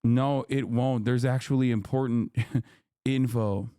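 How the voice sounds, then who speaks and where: Male streamer, mid-pitched, heated and profane, rambling rant, dry close sound. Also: steady tone, takes it slowly, warm tone, clean tone, no room sound. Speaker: live streamer. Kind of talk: casual live-stream rant to chat.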